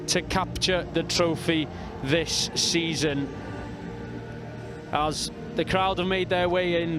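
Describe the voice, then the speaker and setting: North Welsh man, high voice, commentating. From North Wales. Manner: measured, reads out team lines steadily. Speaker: rugby commentator. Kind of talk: live sports commentary.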